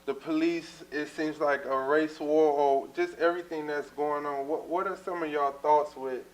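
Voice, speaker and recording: Earnest young minister hosting. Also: male voice, fairly high pitch, fairly even intonation, moderate pace, low-quality recording. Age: 20s. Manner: earnest, many fillers. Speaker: talk show host and minister. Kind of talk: casual church talk show.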